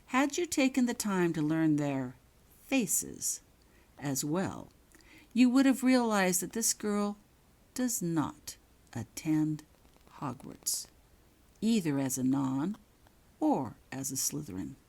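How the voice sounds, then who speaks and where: A woman voicing a coldly controlled, menacing male headmaster in a story, speaking softly and slowly, near a whisper, with weighted pauses. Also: sits low, sing-song, creaky, heavily acted. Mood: cold rebuke. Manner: slow, deliberate, with weighted pauses.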